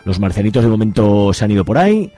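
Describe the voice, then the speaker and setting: A man's voice: on the low side, sing-song, fast talker, mellow, faint music behind. A man from hosting a radio soap in an informal presenting style. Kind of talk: informal show outro.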